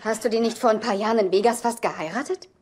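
An actress playing a suspicious, pointed fiancée in dubbed sitcom dialogue, probing and sardonic. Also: female voice, on the high side, fast, darkish tone, close to an everyday voice.

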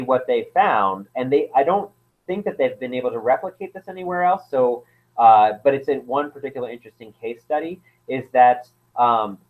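A man explaining as an anthropologist guest in a casual interview, hesitant, with many fillers and restarts. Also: mid-range voice. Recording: tinny phone-like line.

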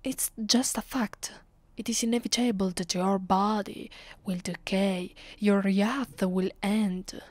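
An Italian woman voicing a haughty, possessive vampire mistress in an ASMR roleplay. She speaks English with an Italian accent in a soft, breathy, often half-whispered voice. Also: medium pitch, no echo. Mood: haughty, intimate. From Italy. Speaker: voice actress. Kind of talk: ASMR roleplay, intimate scripted monologue.

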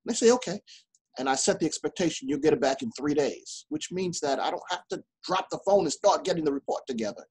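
Husky-voiced podcast host on a call. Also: male voice, on the high side, expressive, fast, a little mellow, rough recording. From New York City. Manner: upbeat, laughs often, chatty. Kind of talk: casual interview. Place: indoor office. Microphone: video call.

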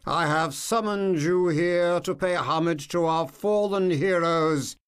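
Ceremonial king, slow and smooth, higher voice. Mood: solemn. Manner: solemn, ceremonial. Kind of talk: video game cutscene acting.

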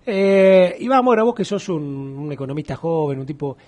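A man's voice: a little high, moderate pace. A middle-aged man with an Argentine accent interviewing a guest in a TV studio, talking animatedly and acting out quoted voices. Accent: Argentine Spanish. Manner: animated, gestures a lot, acts out quoted voices. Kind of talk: casual interview chat.

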